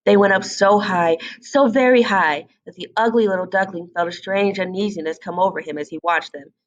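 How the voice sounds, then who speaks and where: A woman reading a fairy tale aloud as an expressive storybook narrator. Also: medium pitch, muffled recording.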